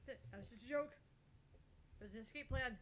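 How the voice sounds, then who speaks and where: A woman chats casually as co-host of a gaming session. Her voice is bright and fairly high. The home recording carries faint noise and rumble. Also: brisk. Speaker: co-host of a gaming let's play. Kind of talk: casual chat.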